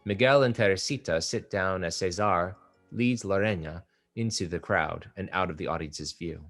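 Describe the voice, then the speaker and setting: Deep-voiced man reading stage directions. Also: faint music behind. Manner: steady, measured reading. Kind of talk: play table read narration.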